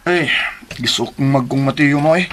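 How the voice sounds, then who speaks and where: An actor playing a caddish, evasive, self-pitying older brother in a radio melodrama, cajoling, with a Cebuano accent. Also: male voice, mid-range voice, moderate pace, a bit bright, a little hiss or hum, close to an everyday voice. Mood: whining, evasive. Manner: cajoling, tag words such as "ha". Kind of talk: melodramatic Filipino radio drama acting.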